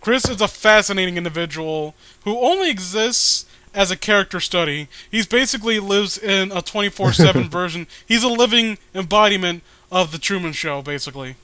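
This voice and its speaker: Male commentator, bright crisp voice, sarcastic and profane, casual mocking read-along style. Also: sits high, animated, fairly quick, few pauses, no room sound. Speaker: host.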